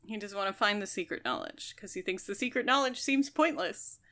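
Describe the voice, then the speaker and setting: A woman's voice: medium pitch, lively intonation, a little fast, a bit bright. A woman co-hosting a podcast, chatting casually as she talks through a book. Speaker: podcast co-host.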